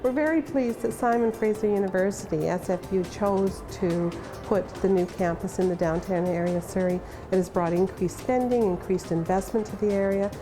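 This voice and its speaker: A woman speaking for her city in a promotional interview, listing its features in a proud, measured way. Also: medium pitch, low-quality recording. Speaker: local city representative interviewee. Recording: music bed behind the voice.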